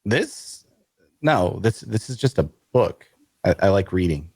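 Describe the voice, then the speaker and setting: An actor playing an awkward, bookish loner adventurer who narrates an audio drama in a wry way. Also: male voice, lowish voice, very animated, unhurried, darkish tone, breathy edge, no room sound, exaggerated voice. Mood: awkward, polite. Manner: wry, hesitant in conversation. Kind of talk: first-person narration and dialogue in audio drama.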